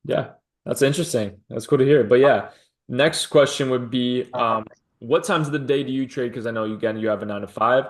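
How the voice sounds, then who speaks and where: A man hosting an interview over a video call, a trading coach who asks questions, drops quick affirmations and pitches his own teaching.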